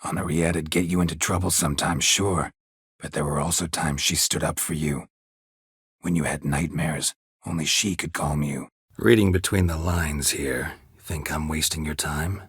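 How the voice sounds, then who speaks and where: A man voicing a gruff, world-weary monster hunter in a video game, with a husky rasp and terse, deadpan delivery. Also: very deep voice, normal speed, no room sound, clean studio sound, exaggerated voice. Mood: dry, brooding. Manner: terse, deadpan, clipped phrases. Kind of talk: video game character dialogue.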